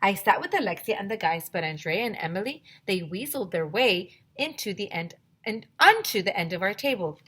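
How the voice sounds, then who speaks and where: A woman teacher reading a children's book aloud in an expressive, theatrical storytime style. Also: mid-range voice, brisk, hardly any pauses, slightly rough.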